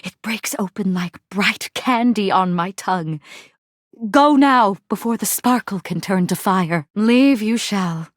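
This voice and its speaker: A woman playing a proud, brooding, war-weary commander in a video game, speaking in archaic, poetic lines with solemn pauses and heavy melodrama. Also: mid-range voice, no echo, clean studio sound, lightly acted. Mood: tentatively flirtatious. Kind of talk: dramatic video game character voice acting.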